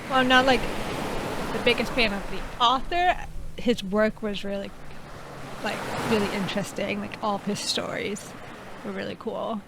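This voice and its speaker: A woman doing casual playthrough commentary, informal and expressive, sometimes reading text aloud. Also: mid-range voice, normal speed, noisy background. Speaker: gaming video creator. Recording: loud ocean waves and game ambience behind voice. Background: game's sea ambience.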